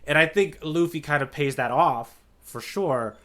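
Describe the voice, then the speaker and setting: A man's voice: fairly high pitch, a little slow, fairly bright tone. A man co-hosting a podcast in casual chat, talking analytically and checking in with "right?". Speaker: podcast co-host who has read ahead, the guide.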